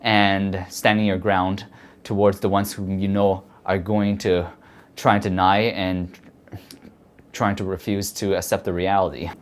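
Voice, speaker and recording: Low-voiced woman in her twenties, hesitant. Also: little pitch movement, unhurried. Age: 20s. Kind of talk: interview testimony.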